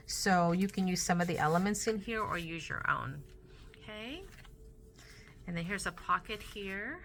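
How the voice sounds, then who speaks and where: A woman hosting a casual crafting vlog, chatty and rambling with many fillers, a little hoarse from losing her voice. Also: lowish voice, slight background noise. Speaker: crafting channel host. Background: soft Christmas music.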